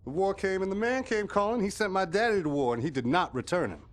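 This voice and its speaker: Man playing a swaggering, higher-voiced rebel. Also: quick pace, close to an everyday voice. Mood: bitter, mocking. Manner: drawling, mocking, slangy. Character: bitter, swaggering male American anti-war rebel. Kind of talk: video game acting.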